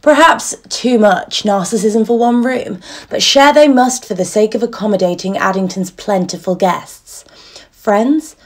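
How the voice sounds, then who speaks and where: A woman playing a wry, observant detective narrating a radio crime drama in measured tones. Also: medium pitch, natural voice. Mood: wry, reflective.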